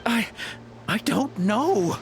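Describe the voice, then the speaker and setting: A man's voice: sits high, big pitch swings, extremely slow, vocal fry, a little hiss or hum, exaggerated voice. An actor playing a cowed, nervous henchman in a video game, stammering and hesitant. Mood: nervous, defensive. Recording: faint music behind the voice.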